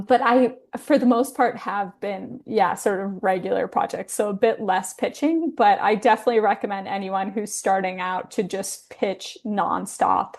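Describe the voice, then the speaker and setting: A woman guest on a podcast, speaking in a relaxed, friendly way with many casual fillers. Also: mid-range voice. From Hawaii. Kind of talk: casual conversational interview.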